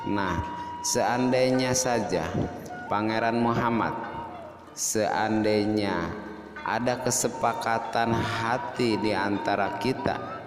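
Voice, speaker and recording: A man voicing a dignified, yearning unmarried queen in a storytelling drama, with formal, measured, courtly speech. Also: lowish voice. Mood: wistful, earnest. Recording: echoey long reverb, music behind.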